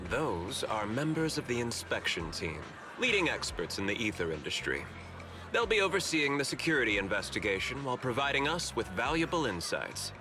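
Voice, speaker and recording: An actor playing a candid, politely ambitious corporate security chief in a video game, speaking in an articulate, confiding way. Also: male voice, medium pitch, sing-song, moderate pace, close to an everyday voice. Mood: smug, assured. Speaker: corporate manager character. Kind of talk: video game story dialogue. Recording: music behind the voice.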